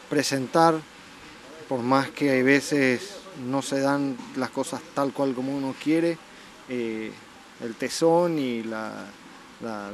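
A man with an Argentine accent giving halting, repetitive answers in a spontaneous interview. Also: mid-range voice, slight background noise, rough recording. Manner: halting, repeats words. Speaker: sheep farm owner interviewee.

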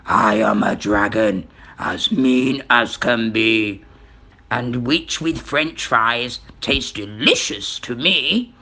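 A mean, hungry storybook dragon, played by a woman, pitched well down. He takes his time over each word with menacing relish. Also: slow pace, bright, forceful tone, full character voice.

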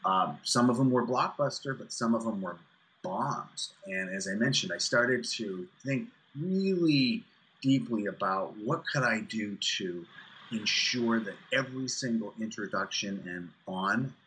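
A man in his fifties explaining things emphatically and deliberately as an interview guest on a video call. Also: fairly low pitch, animated, fairly bright tone, slightly rough, poor audio. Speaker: guest, author and executive coach. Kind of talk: interview answers on business networking. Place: small furnished room indoors, on a video call. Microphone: video call, computer at desk distance.

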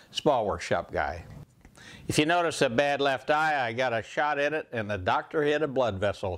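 An older man talks through safety masks in his home woodworking shop, plainspoken and unhurried. His voice is smooth and resonant, at an ordinary pitch for a man. He pauses often with a folksy "uh" and speaks into a small clip-on mic. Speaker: woodworking channel host. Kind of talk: casual product overview.

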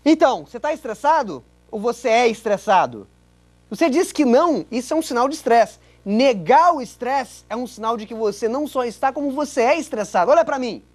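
Irritable, sarcastic male presenter, high voice. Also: lively intonation, fairly quick. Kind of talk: sketch comedy. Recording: music underneath.

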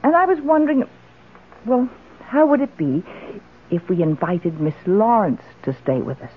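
An actress playing a genteel, well-to-do middle-aged widow in a radio drama, gracious and expressive. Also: female voice, medium pitch, moderate pace, a little hiss or hum, lightly acted. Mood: gracious, cheerful. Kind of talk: old-time radio drama acting. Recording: muffled, band-limited old radio broadcast.

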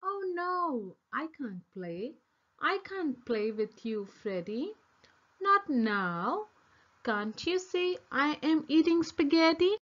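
A woman voicing a stubborn, excitable female monkey from a children's storybook, with emphatic, sing-song repetition. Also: high voice, slow pace, dull audio, pitched above the performer's own voice, heavily acted. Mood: refusing, busy. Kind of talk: children's storybook read-aloud.

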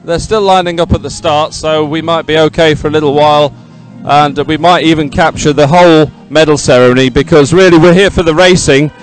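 A man's voice: a little high, steady tone, brisk, hardly any pauses, faint music behind, rough recording. A man giving live, ad-libbed sports commentary in a chatty, rambling, enthusiastic way.

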